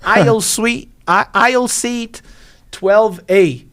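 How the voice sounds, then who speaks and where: High-voiced, animated Brazilian-Portuguese man. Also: very slow. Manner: animated, slangy storytelling. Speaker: podcast guest, English teacher. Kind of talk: casual podcast conversation.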